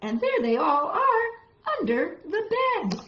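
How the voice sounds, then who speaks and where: A woman reads aloud to children as a story-time host. Her voice is fairly high and very animated, with simple, dramatically stressed phrases. The sound is roomy and a little dull. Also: slow. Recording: roomy, dull lo-fi home recording.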